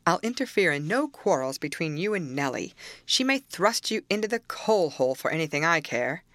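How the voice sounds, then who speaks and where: A woman's voice: low voice, big pitch swings, normal speed, fairly bright tone, no room sound. Lady doing mean bossy master of the house, curt, scornful, audiobook character. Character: harsh, menacing, contemptuous master of the house.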